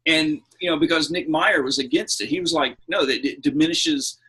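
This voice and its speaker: A man with a slightly high, forceful voice and a rough, husky edge. He talks casually as a podcast co-host, spinning film anecdotes in an animated manner and acting out what people said. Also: moderate pace, low-quality recording. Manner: animated storyteller who acts out quotes.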